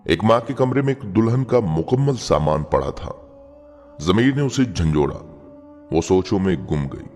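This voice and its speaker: A man's voice: low voice, lively intonation, unhurried, darkish tone. A man narrating a scripted moral story in an earnest, sentimental, dramatic way. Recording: long reverb, music bed behind. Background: background music.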